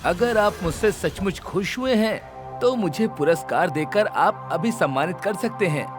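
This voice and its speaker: Sly, teasing cartoon courtier, raised voice, music under it. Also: male voice, sits high, lively intonation, measured pace. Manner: playful, teasing, persuasive. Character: witty clever male royal courtier. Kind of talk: animated storybook voice acting.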